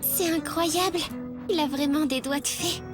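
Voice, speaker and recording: A woman's voice: sits high, measured pace, music in the background. A woman voicing a sweet, hesitant, naive child in a French anime fan dub. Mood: grateful.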